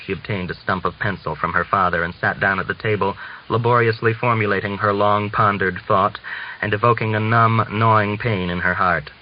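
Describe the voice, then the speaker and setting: A man reading a literary novel aloud as scripted narration, in a measured manner. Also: fairly low pitch, fairly even intonation. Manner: measured, acts out dialogue. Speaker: audiobook reader. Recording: muffled lo-fi audio with clear hiss.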